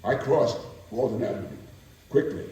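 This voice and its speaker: Guy doing a tired working woman telling her story onstage, slow n solemn, echoey and far from mic. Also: lowish voice, mellow, close to an everyday voice. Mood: disgusted, tense. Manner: slow, deliberate, theatrical pauses. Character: weary working woman recounting day labour, solemn. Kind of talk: dramatic first-person reading of testimony. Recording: very echoey room, distant microphone.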